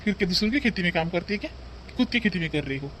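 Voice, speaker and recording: A man's voice: high-pitched, expressive, racing, fairly bright tone, a little hiss or hum. A man playing a polite, advising brother-in-law in a family cartoon, with a questioning manner. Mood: inquisitive. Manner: questioning, code-switches between Hindi and Marathi. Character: visiting educated brother-in-law, polite, advising, mildly probing.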